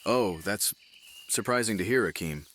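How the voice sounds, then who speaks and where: Man acting a low-voiced gruff commander. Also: lively intonation, moderate pace, fairly bright tone. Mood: curious. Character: male commander, gruff, wry, fatherly leader of men. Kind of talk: fantasy audio drama acting.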